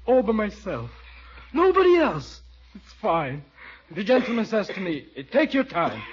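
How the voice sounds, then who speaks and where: An eager immigrant man, proud of his new country, in a 1940s radio comedy. His foreign-accented voice is high and swings about excitedly. He speaks earnest broken English, heard through a muffled vintage recording. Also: a little slow, slightly rough, heavily acted.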